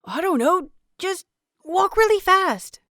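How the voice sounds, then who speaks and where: A woman's voice: extremely high pitch, animated, slow pace, sharp tone, dry recording, clean studio sound, pitched above the performer's own voice, heavily acted. A woman voicing young novice students bickering furtively and urgently in an audiobook. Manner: urgent, furious whispering. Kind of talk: audiobook character dialogue.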